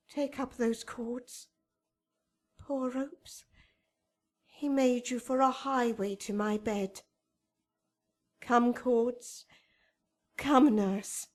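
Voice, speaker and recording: An actress playing Juliet as a passionate young bride in a Shakespearean radio drama, speaking verse dramatically. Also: female voice, sits high. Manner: slow, dramatic verse delivery.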